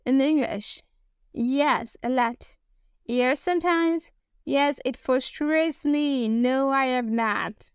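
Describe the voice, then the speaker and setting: Nigerian woman coach, podcast monologue, high voice, slow, dry close mic. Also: smooth, dry recording. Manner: spells out words slowly, promotional. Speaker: business English coach.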